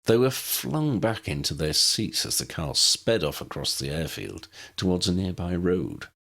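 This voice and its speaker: A man's voice: sits low, expressive, unhurried, vocal fry, fairly bright tone. A man reading a scripted story aloud as an audiobook narrator.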